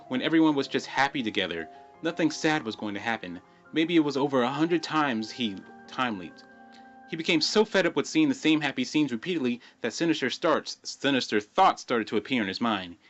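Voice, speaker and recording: A man's voice: medium pitch, a bit bright, muffled recording. A man hosting a video, giving an informal, conversational explainer commentary and repeating phrases for emphasis. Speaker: video host.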